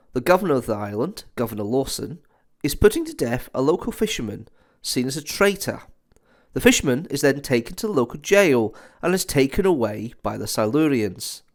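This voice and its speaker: Chatty, emphatic male reviewer. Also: on the high side. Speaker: host reviewer. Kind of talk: enthusiastic audio drama review.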